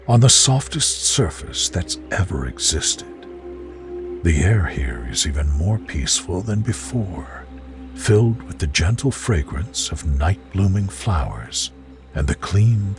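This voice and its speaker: Male narrator for guided sleep visualization. Deep, very slow, soothing and measured, with music underneath. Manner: soothing, gentle, measured delivery. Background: music behind the voice.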